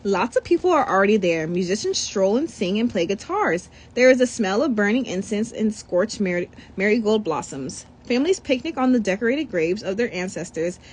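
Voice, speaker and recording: Lively, slightly husky woman reading aloud. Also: mid-range voice, normal speed, hardly any pauses. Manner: animated, trips over words and comments between pages. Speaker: storybook reader. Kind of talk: children's read-aloud.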